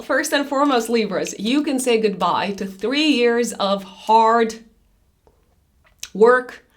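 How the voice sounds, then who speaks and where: A woman reading a monthly horoscope in a chatty, loose way, her voice raspy after an illness. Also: a little high. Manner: chatty, loose, with many fillers and restarts. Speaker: astrologer.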